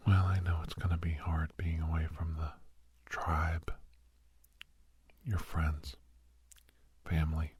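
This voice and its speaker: A man playing a gentle, reassuring doctor in a soft-spoken ASMR roleplay, with a husky, breathy voice and halting, hesitant delivery. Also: low voice, heavily acted. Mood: gently encouraging. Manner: soothing, hesitant, halting pauses. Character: gentle, reassuring male doctor counseling a nervous young werewolf.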